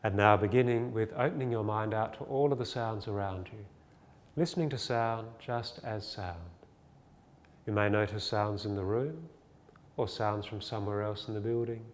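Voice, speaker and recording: A man teaching a guided body scan meditation, giving gentle, soothing, unhurried instructions with pauses. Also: on the low side, slight background noise. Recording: long reverb, faint music behind.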